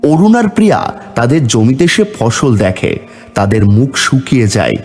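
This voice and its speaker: A man narrates a folk story in a scripted storytelling style. His medium-pitched voice moves up and down in a lively way, with faint music behind it. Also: normal speed.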